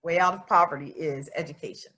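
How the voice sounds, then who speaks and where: A woman in her fifties reading aloud for children, pausing to explain the story and address her listeners directly. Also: deep voice, bright, forceful tone. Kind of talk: read-aloud with explanatory asides to young listeners.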